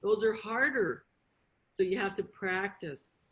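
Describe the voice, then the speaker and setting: A middle-aged woman instructs beginners at a slow, measured pace. Her pitch sits in the middle for a woman. She uses short, simple directions, repeats key words and often checks in with a question. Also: animated, fairly bright tone. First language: English. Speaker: sign language interpreter and instructor. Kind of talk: hands-on beginner lesson and informal explanation.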